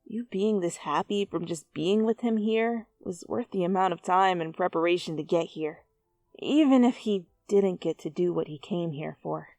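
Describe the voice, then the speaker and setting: A woman giving an expressive, theatrical dramatic reading of fan fiction as narrator. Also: lowish voice, normal speed, no room sound. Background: background music.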